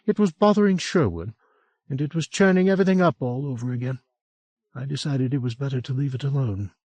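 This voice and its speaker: A man voicing a warm, gently teasing middle-aged woman in an audiobook, chatty and lilting, sometimes dropping to a hush. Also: mid-range voice, brisk, no room sound, pitched above the performer's own voice, lightly acted. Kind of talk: audiobook character voice.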